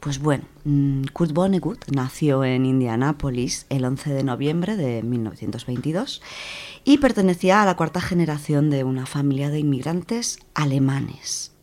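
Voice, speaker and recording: A woman's voice: extremely low pitch, lively intonation, moderate pace, darkish tone. A woman with an accent from Spain gives an informal radio talk in a conversational way, repeating words as she goes. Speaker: radio book-segment contributor. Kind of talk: informal spoken presentation.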